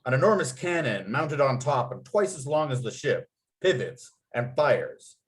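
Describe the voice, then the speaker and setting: A man's voice: medium pitch, big pitch swings, unhurried. A man acting as narrator at a table read, reading out script and stage directions.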